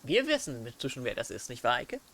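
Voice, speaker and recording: A man's voice: mid-range voice, huge pitch swings, quick pace, vocal fry, a bit bright, a little hiss or hum. A German man hosting a let's play, chatting casually over a game. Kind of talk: casual gaming commentary.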